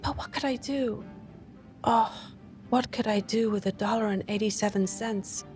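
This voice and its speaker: A woman's voice: medium pitch, normal speed, a little mellow, faint music behind, lightly acted. A woman voicing an anxious, loving young wife who speaks in an eager, pleading way. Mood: worried.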